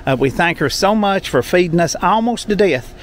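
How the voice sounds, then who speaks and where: A man's voice: high-pitched, lively intonation, moderate pace, a little hiss or hum. A man, talking casually to viewers in a travel vlog, folksy and chatty, calling them folks. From Appalachian Kentucky. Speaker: travel vlogger. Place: in a car and a country store.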